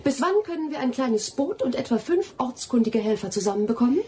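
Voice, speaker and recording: A woman's voice: high voice, big pitch swings, fairly quick, a crowd in the background. An actress in a radio play playing a self-assured young lady botanist, polite, formal and firm. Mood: brisk, determined.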